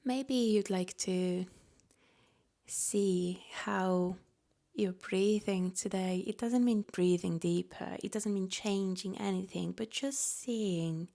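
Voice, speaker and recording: Female meditation guide, gentle and soft-spoken, slow delivery with noticeable vocal fry. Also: fairly low pitch. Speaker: yoga nidra teacher.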